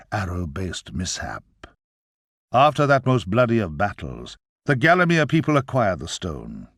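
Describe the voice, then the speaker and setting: An actor playing a wry, chatty, overworked grim reaper in a video game, with a theatrical manner. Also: male voice, medium pitch, no room sound, studio quality. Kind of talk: scripted video game character acting.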